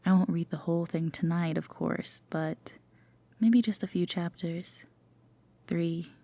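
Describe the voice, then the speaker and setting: A woman playing a gentle, teasing, affectionate girlfriend in an intimate sleep-aid roleplay, with a soft, breathy half-whisper and a tender manner. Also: lowish voice, steady tone, measured pace, no echo. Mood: caring, hesitant. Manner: hesitant, tender, gently playful.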